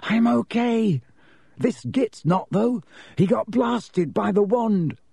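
A frightened boy, as a male narrator puts him on, pleads in a high, strained voice. It sounds dry and close-miked, mellow in tone. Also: animated, slow, vocal fry, slightly rough, no echo, exaggerated voice. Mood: desperate. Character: frightened boy sidekick, desperate and muffled.

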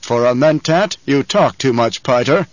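A man voicing a fat, scheming baron villain in an audiobook, with a rumbling, growling basso and a grandiose, savouring delivery. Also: expressive, moderate pace, no echo, muffled recording, lightly acted. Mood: menacing, musing.

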